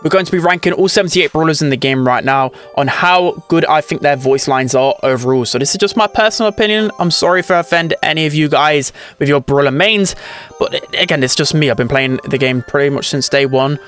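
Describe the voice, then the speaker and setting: A man's voice: on the high side, brisk, fairly bright tone, faint music behind. A man hosting a gaming video, giving casual opinion commentary.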